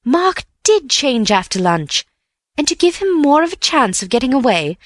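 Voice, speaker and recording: A woman reading an audiobook voices an eager, excitable young man who is quick to spin theories. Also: a little high, dry recording, clean studio sound, voice pushed up. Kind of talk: audiobook character voice.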